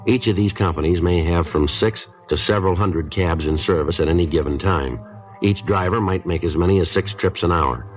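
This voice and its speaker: An American actor playing a stoic, matter-of-fact police detective in a 1950s radio drama, with terse, deadpan procedural delivery. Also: male voice, sits low, moderate pace, lightly acted. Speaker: actor narrating and playing the lead. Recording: old radio recording, faint music.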